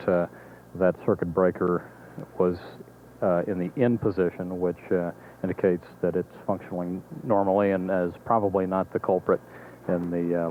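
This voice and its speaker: Low, halting American man on muffled audio. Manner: halting, measured, matter-of-fact. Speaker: mission control public affairs commentator. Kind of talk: live explanatory commentary on a space mission. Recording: muffled narrow-band archival broadcast audio with hiss.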